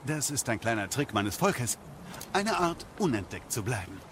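An actor playing a fussy, squeamish dwarf craftsman in a video game audio drama, excitable and halting in his delivery. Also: mid-range voice. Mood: explaining, boastful. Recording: clear background noise.

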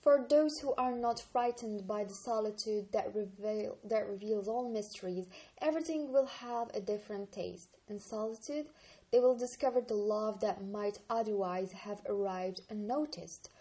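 A woman in her twenties from Algeria, an Arabic speaker, reading a book aloud slowly and carefully on her channel. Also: mid-range voice, dark tone, low-quality recording. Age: 20s. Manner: slow, careful reading with occasional slips and restarts.

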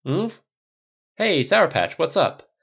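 A man voicing a restless male genius inventor who talks fast and gets defensive. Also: a little high, big pitch swings, vocal fry, a bit bright, breathy edge, dull audio, pitched above the performer's own voice, heavily acted. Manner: fast, fidgety and easily defensive.

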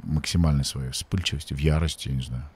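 A man hosting an interview, asking halting questions with many pauses and fillers. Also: a bass voice, a little mellow, husky.

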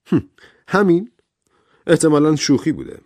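A man voices a nervous doctor in an audio story. He reassures those around him in a shaky, lively male voice that swings in pitch. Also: dry recording. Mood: relieved.

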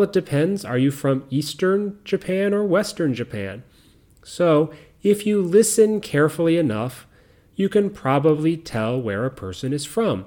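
A middle-aged man with a mixed American accent and faint Southern roots, hosting a podcast in slow, clear teaching talk for learners. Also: on the high side. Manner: calm, explains step by step, repeats key points. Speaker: podcast host.

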